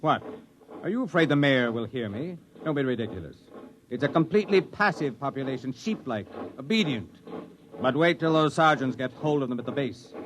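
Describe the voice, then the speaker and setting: An actor playing a smooth, cynical Earth official who speaks down to colonists in a 1950s radio drama. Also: male voice, mid-range voice, expressive, a little fast, noisy background, close to an everyday voice. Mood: scornful. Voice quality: smooth, condescending.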